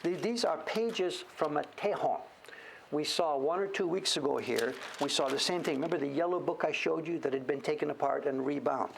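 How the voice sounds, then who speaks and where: Gravelly man chatting casually. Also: on the high side, normal speed, a little hiss or hum, low-quality recording. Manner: rambling, self-deprecating, laughs often. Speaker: show-and-tell host. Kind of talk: casual livestream chat.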